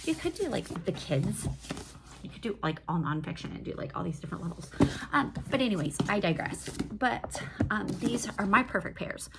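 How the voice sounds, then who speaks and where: A woman's voice: medium pitch, sing-song, normal speed, vocal fry, very noisy. A woman chatting casually about books in an enthusiastic, digressive way, like a host reviewing her reading. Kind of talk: casual book review chat.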